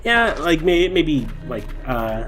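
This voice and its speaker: A man's voice: a little high, sing-song, slow pace. A man hosting a video game playthrough, chatting casually in a dry, ironic, rambling way. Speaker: host playing the game. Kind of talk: casual joking chat, game commentary. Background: loud game music and effects.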